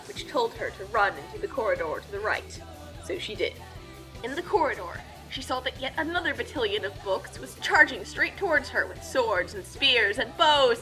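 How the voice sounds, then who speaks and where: High, bright woman narrating a comic epic. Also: poor audio. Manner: mock-epic, theatrical. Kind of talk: animated comic reading of a scripted fan fiction story.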